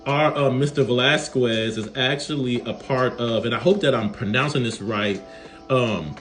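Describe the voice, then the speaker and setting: A man's voice: mid-range voice, moderate pace, a bit bright, soft background music. A man hosting an interview show, friendly and easygoing, with frequent fillers.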